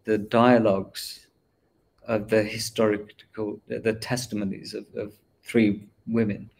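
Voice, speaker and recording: A man's voice: fairly low pitch, little pitch movement, unhurried, vocal fry, a little mellow, breathy edge. A man who is an artist, talking reflectively about his work with many hesitant fillers. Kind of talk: livestream discussion.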